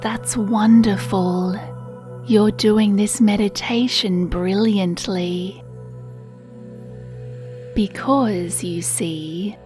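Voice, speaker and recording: A woman reads a children's bedtime meditation at an extremely slow, unhurried pace. Her voice is soft, mellow and hushed, with a soothing, breathy gentleness. Quiet music plays behind her. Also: mid-range voice. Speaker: meditation narrator. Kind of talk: children's sleep meditation storytelling, scripted narration.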